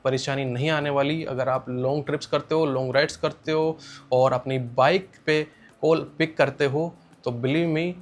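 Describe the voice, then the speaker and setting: Slightly high-pitched Indian man reviewing a product. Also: steady tone, moderate pace. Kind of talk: casual spoken product review.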